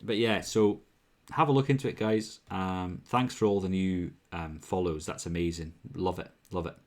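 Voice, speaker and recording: A man's voice: sits low, fairly even intonation, moderate pace. A man with a Welsh accent, mixed with Scottish and northern English, hosting a casual whisky-tasting live stream from home. He chats upbeat and loose with viewers, with lots of ums and restarts. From Wales.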